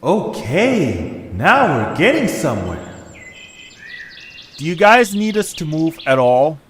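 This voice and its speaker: A man playing an eager, hopeful young adventurer in an amateur audio drama, with excitable, expressive delivery. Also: on the high side, slow pace, a little hiss or hum, full character voice. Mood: curious. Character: eager, hopeful young male adventurer leading his friends.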